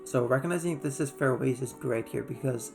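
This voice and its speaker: A man's voice: mid-range voice, a little fast, gravelly. A man from Iceland giving casual game recap commentary in a loose, conversational way. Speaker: gaming video creator. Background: faint background music.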